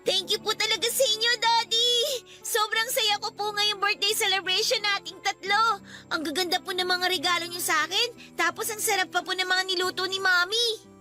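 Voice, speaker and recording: Bright, very high-pitched sweet little girl. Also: steady tone, moderate pace, soft background music, heavily acted. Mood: happy, grateful. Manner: polite, eager, childlike. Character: sweet, grateful young daughter, excited on her birthday. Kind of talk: Tagalog radio drama acting.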